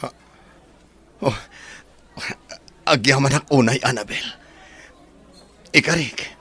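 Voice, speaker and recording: A man playing a sincere young suitor in an Ilocano radio drama, ardent and earnest, with a breathy voice and Ilocano accent. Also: mid-range voice, slow, a bit bright. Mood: ardent, grateful. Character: sincere, devoted young suitor Marshall, tenderly in love.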